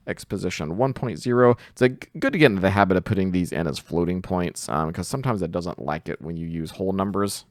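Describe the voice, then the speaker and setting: Man, tutorial instructor, deep gravelly voice, lively intonation, informal, hesitant delivery. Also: no room sound, studio quality. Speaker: tutorial host, coding instructor. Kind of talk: informal screen-share software tutorial.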